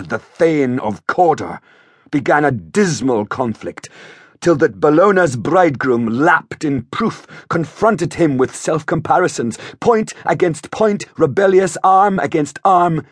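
A man's voice: a little high, husky, no room sound, clean studio sound, full character voice. A man voicing a proud noble thane, declaiming news of war urgently in Shakespearean verse. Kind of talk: dramatized Shakespeare verse audiobook.

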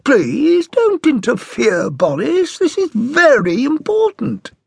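A man's voice: very high voice, wildly swooping pitch, slow, gravelly, darkish tone, slightly rough, no room sound, pitched above the performer's own voice, exaggerated voice. A man voicing a bold, opinionated bohemian mother with a languorous manner, lecturing her small son in an audiobook story. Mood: insistent.

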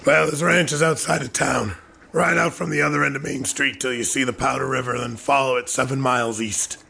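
A man playing a brisk, commanding Old West sheriff in a radio drama, terse and gravelly. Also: mid-range voice, steady tone, sharp tone. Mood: businesslike. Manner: terse, authoritative. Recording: noisy outdoor scene with birds.

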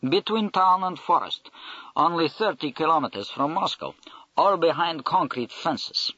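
A man's voice: a little high, expressive, a little slow, sharp tone, no room sound, lo-fi sound, voice pushed up, exaggerated voice. A man reading an audiobook, voicing a big, blunt Russian army major with broken English and a Russian accent.

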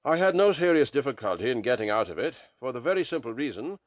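A man voicing a lean gentleman detective in a storybook, measured, precise and nonchalant, cool and commanding. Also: medium pitch, sing-song, dry recording, voice pushed down. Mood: composed, assured.

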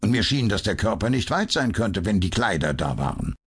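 A man's voice: lowish voice, big pitch swings, fairly quick, a little hiss or hum, voice pushed up. Lestrade, a man playing a blustering police inspector. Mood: indignant. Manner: blustering and bitter. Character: gruff, indignant police inspector, male. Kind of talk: audiobook character voice.

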